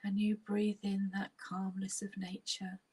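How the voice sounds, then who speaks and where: A woman with a soft, breathy, near-whispering husky voice, leading a guided relaxation meditation in a soothing, unhurried way with long pauses. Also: medium pitch, completely flat delivery.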